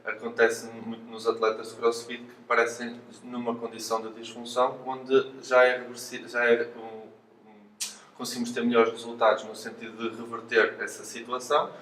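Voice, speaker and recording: A man's voice: mid-range voice, monotone, normal speed, a bit bright. A man with a European Portuguese accent explains things as an interviewed physiotherapist, hesitating and repeating words as he goes. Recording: roomy echo, distant mic, faint noise.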